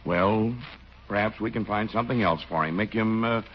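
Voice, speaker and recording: An actor playing an easygoing, hesitant small-town police chief in a mild, halting 1940s radio comedy style. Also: male voice, on the low side, natural voice. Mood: resolving. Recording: muffled, hissy 1940s radio recording.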